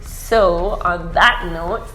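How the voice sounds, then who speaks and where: A woman's voice: on the low side, extremely slow, a bit bright, soft background music. A woman hosting an interview podcast in casual chat, enthusiastic and affirming, full of "yeah"s and "mm-hmm"s. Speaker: podcast host. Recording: echoey room with faint noise.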